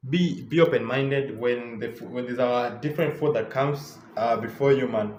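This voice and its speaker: Young kenyan man, travel vlogger, rambly and casual, average pitch, faint room hum. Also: normal speed, a little mellow, echoey room. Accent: Kenyan English. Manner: loose and chatty, lots of repeats and fillers. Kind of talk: casual travel advice talk.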